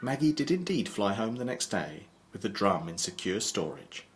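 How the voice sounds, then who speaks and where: A man playing the sober narrator of a horror audio drama, measured and matter-of-fact. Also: fairly low pitch, big pitch swings, over loud music.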